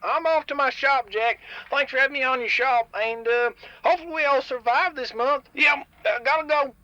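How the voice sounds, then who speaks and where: A man voicing an excitable, folksy mutant tinkerer who rambles carefree about danger on a comedic radio drama call-in. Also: very high-pitched, normal speed, dry recording. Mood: casual, folksy. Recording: dull lo-fi radio call, faint music.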